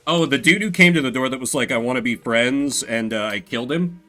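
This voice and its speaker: Lively, bright-voiced man streaming a game. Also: mid-range voice, quick pace. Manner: theatrical, playful, switches into voices for reading. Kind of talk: playthrough commentary, reading game text aloud.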